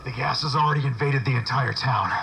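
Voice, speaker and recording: A man's voice: mid-range voice, quick pace, gravelly, bright, forceful tone, slight background noise, rough recording. A man playing a treacherous soldier in a video game, smug and spiteful, with a taunting delivery. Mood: grim, frustrated. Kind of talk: video game cutscene acting.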